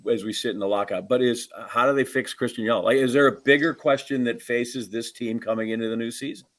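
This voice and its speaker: Male co-host, medium-pitched, rambling and jokey in casual podcast banter. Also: fairly even intonation, fast, fairly bright tone. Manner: joking, rambling.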